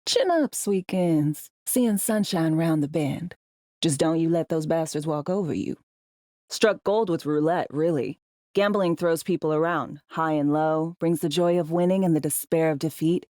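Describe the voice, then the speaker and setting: A tough, sardonic spy handler from a cyberpunk video game, acted by a woman. Her voice sits fairly low in a very dry studio sound. She talks in clipped slang, bossy and teasing, like someone used to running operations. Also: moderate pace, warm tone, dry recording, lightly acted. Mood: encouraging, wry. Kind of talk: video game voice acting, briefings and radio guidance.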